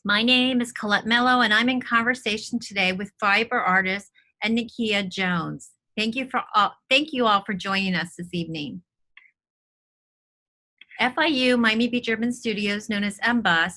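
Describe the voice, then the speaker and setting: Bright-voiced woman hosting an art talk. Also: medium pitch. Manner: polished, welcoming, reads a prepared intro. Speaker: host. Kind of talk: scripted introduction and interview. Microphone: video call.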